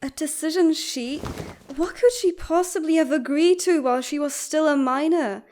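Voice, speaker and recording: A woman acts out a female bird doctor with a somewhat high voice. She is outspoken and protective, arguing insistently in articulate, reproachful phrases. The delivery is dramatic comic fandub acting. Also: moderate pace, a little mellow, natural voice. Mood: indignant.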